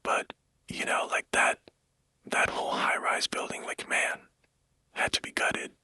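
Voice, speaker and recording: Male whisperer for an unscripted monologue: breathy, hissy-bright, hesitant and casual, recorded very dry. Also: a little slow, dry recording. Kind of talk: whispered rambling monologue, like soft-spoken ASMR.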